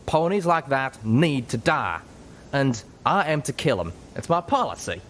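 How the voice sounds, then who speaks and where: A man's voice: medium pitch, big pitch swings, a little slow, a bit bright, a little hiss or hum, voice pushed up. A man voicing a hot-headed pegasus gunslinger with a Southern US cowboy drawl, brash and folksy in a story reading. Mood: defiant anger.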